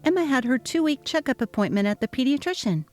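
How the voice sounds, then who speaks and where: A woman's voice: high-pitched, sing-song, fast, a little mellow, faint music behind. A young woman voicing an exhausted new mother, speaking earnestly and pleading. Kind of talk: dramatic reading of a text-message story.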